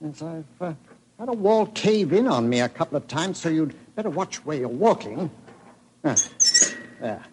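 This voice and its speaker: An actor playing a hesitant but enthusiastic antiquarian explorer in an old-time radio drama. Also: male voice, on the high side, sing-song, normal speed. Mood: cautious. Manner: hesitant, enthusiastic, repeats words. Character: eager, fussy English antiquarian cave explorer. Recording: old radio recording, faint noise.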